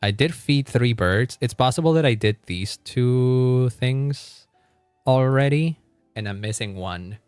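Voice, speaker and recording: A man's voice: medium pitch, lively intonation, takes it slowly, a little mellow, clean tone, no echo, faint music behind. A man livestreaming a game, chatting casually with viewers in a rambling, informal way with some mild swearing.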